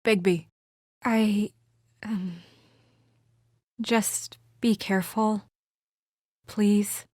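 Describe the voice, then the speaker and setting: A woman playing an earnest, weary and conscientious young administrator in a video game, speaking in a measured, serious, sometimes hesitant way. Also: medium pitch, fairly even intonation, a little mellow, no room sound, clean studio sound. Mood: hesitant, sincere. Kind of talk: naturalistic video game voice acting.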